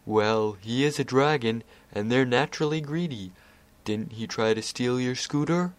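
A man's voice: mid-range voice, moderate pace, lightly acted. A man doing a drawling, wry chaos spirit. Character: lazy, sardonic male chaos spirit.

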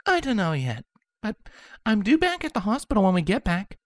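Woman acting a tender, lively young wife pony. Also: medium pitch, fairly quick, no room sound. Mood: happy. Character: gentle, loving adult female pony, newly pregnant wife. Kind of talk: audio story character acting.

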